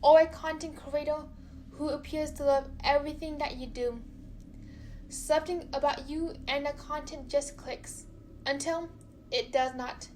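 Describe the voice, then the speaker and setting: A young woman from China narrating a personal story, careful and halting, often repeating words. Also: high-pitched, steady tone, darkish tone, slight background noise, rough recording.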